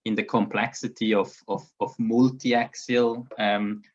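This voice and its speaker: A man delivers a conference keynote in a flat, level voice that barely rises or falls. He speaks haltingly, restarting phrases and repeating words as he goes. The audio sounds slightly muffled and dull. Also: on the low side. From Switzerland. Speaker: engineering researcher giving a keynote.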